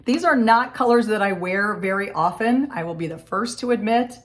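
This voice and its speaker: A woman with a scratchy, hoarse voice from a cold, chatting casually through a makeup tutorial in her home office. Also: medium pitch, expressive, moderate pace. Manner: chatty, friendly step-by-step explaining. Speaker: makeup vlogger.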